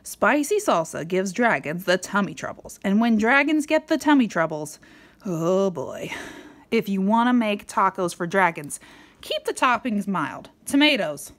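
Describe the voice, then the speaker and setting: Female storybook reader, animated and playful, swooping intonation, speaking to a small child. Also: medium pitch, a little slow. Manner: playful, expressive, with dramatic emphasis. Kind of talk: animated read-aloud to a young child.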